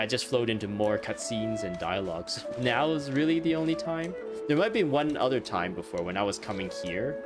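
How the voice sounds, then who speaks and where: A man giving casual live gameplay commentary as a streamer, rambling and chuckling. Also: fairly low pitch. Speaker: game streamer. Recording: clear music behind the voice.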